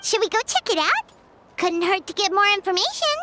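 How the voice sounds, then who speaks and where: A woman voicing a tiny, excitable childlike fairy companion in a video game, squeaky and chirpy. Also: fairly quick, heavy background noise. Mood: curious. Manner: chirpy, refers to self by name. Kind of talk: video game character acting. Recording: loud game music behind. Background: game music.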